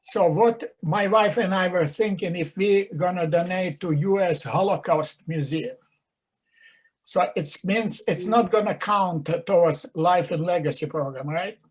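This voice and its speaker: A man with a Ukrainian accent politely and deliberately asking a question at a virtual meeting. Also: high-pitched.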